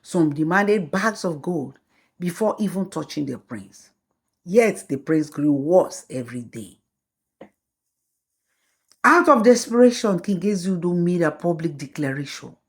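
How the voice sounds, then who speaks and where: Slow, low-voiced woman narrating a folktale. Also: lively intonation, breathy edge. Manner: slow, measured storytelling. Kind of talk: scripted storybook narration.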